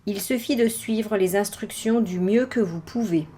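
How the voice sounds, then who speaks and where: A woman leads a guided body scan as a meditation guide. Her voice sits at a medium pitch. She gives scripted instructions in a measured way, pausing between phrases. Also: mellow, breathy edge.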